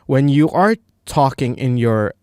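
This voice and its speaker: Slow-talking Indonesian man, clear voice. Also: medium pitch, lively intonation, darkish tone, dry recording, studio quality. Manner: unscripted, many restarts and fillers. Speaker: language learner. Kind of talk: casual monologue.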